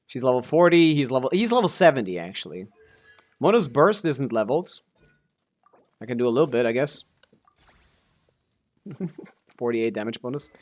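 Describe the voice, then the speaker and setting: A man giving casual live game stream commentary, thinking aloud as he talks through his options. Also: medium pitch.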